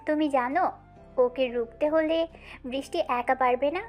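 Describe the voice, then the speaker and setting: A woman's voice: sits high, steady tone, normal speed, darkish tone, faint music behind, close to an everyday voice. A woman playing an earnest young sister with a cautioning manner in an audio romance drama. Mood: earnest warning. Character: earnest young sister warning her brother.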